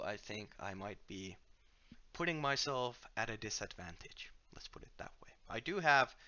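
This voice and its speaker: A man from Finland with a Finnish accent, hosting a casual game livestream on a headset mic, relaxed and self-deprecating as he plays and answers viewer questions. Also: mid-range voice, dull audio. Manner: relaxed, self-deprecating, frequent filler words and restarts. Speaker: livestream host from the game company. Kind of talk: casual livestream chat while playing and reading viewer questions.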